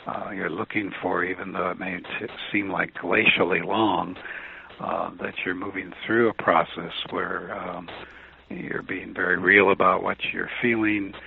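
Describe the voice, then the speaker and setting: Deep gravelly man on a phone line. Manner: calm, measured, with fillers and restarts. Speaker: author and guest. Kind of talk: radio interview conversation. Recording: phone line with faint noise.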